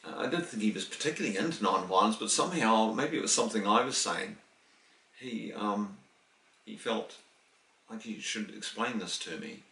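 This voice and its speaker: A New Zealand man in his late fifties talks through his past in a loose, chatty way, often restarting his sentences. His voice sits fairly low. He is recorded in an echoey room a little away from the mic. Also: lively intonation, moderate pace, gravelly, bright, forceful tone, recorded from a distance. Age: 50s. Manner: rambling, with restarts and chuckles. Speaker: interviewee. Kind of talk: casual interview answers. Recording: roomy, voice from the mic.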